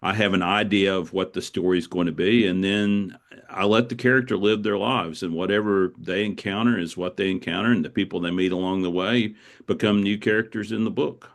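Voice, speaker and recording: Very deep-voiced man in an interview. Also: steady tone, brisk. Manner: reflective, anecdotal, often repeats words as he goes. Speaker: author guest. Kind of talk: conversational interview answers.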